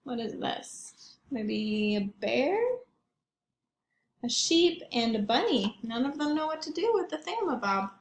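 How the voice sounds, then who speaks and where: A woman's voice: on the high side, sing-song, measured pace, reverberant. A woman reading picture books aloud to young children, expressively and with questions for her listeners. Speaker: children's read-aloud host, teacher or librarian.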